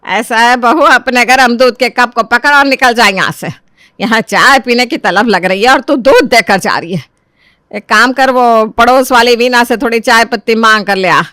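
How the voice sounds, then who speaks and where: A woman's voice: fairly high pitch, normal speed, sharp tone, no room sound, clean studio sound. A woman playing a bossy, nagging older mother-in-law who scolds and demands in a dramatized moral story. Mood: annoyed. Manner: scolding, demanding, rustic turns of phrase.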